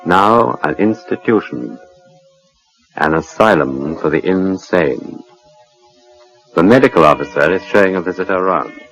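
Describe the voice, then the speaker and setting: Deep sinister british horror host, dramatic swooping delivery, old 1940s radio show, formal and deliberate. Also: male voice, animated, gravelly, fairly bright tone, heavily acted, low-quality recording. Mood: ominous, urbane. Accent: British English. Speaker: storyteller and host.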